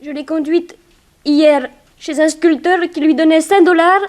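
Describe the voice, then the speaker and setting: Evasive, frightened girl, extremely high-pitched, hesitant, pleading; 1960s radio serial. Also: fairly even intonation, measured pace, a little mellow. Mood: anxious, confessing. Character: frightened, evasive young girl hiding a friend's secret.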